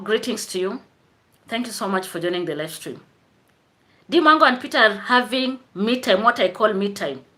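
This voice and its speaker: A woman's voice: on the high side, bright, forceful tone. A woman chatting casually on a live stream, greeting viewers by name and laughing often. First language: Swahili.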